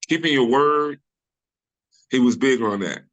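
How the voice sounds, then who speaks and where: A middle-aged man with a deep voice, a comedian guest talking casually and loosely in an interview over a video call, full of "you know" and restarts. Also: measured pace. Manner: relaxed storyteller, many restarts and "you know".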